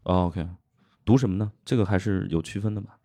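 A man's voice: on the low side, a little fast, dark tone. A man hosting a casual podcast chat, teasing his guests and laughing often as he asks short follow-up questions. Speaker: podcast host.

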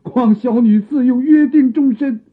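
A man playing a humble old village father in a period radio drama, speaking with husky, theatrical earnestness. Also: extremely high pitch, a little slow, exaggerated voice. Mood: pleading. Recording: muffled phone-like old radio sound.

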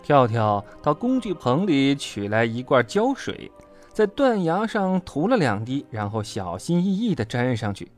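A storyteller narrating a children's storybook in an expressive, dramatic way. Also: mid-range voice, unhurried, faint music behind.

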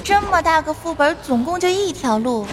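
A woman's voice: high-pitched, expressive, moderate pace, background noise. A woman playing a fussy, sassy young girl adventurer, whiny and sarcastic, in a fantasy radio drama.

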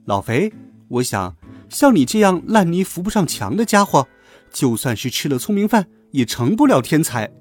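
A man's voice: on the high side, very animated, moderate pace, faint music behind. A man voicing a cheeky, boastful schoolboy hero in a children's audiobook, with a teasing, cocky delivery. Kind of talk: children's audiobook character acting.

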